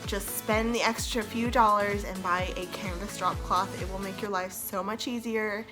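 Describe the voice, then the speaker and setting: A young woman with a congested voice from a cold, casually narrating a DIY tutorial as the host of her channel. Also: mid-range voice. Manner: chatty, self-deprecating, laughs between phrases.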